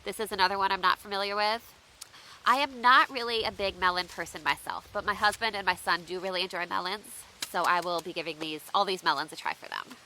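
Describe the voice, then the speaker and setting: Woman, bright voice, stuffy and congested, outdoors, casual chat, faint hiss. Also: medium pitch, steady tone, a little fast, low-quality recording. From United States. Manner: chatty, asks viewers for tips. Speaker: homestead vlogger. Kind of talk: casual unboxing chat to viewers. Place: outdoors under shifting trees.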